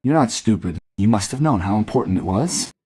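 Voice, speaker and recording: Casting: probing male detective, mellow lower register, steady and insistent interrogator for a crime radio play. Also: breathy edge. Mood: stern. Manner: measured, insistent questioning.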